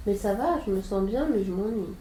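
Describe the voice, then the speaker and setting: A woman under hypnosis recalling a past life, speaking slowly and haltingly and trailing off into quiet murmurs. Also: mid-range voice, animated, echoey room, a little hiss or hum, poor audio. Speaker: hypnosis client.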